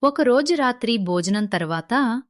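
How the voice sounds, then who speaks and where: A woman's voice: on the high side, animated, measured pace, no echo, clean studio sound. A woman narrating a scripted moral story as the storyteller.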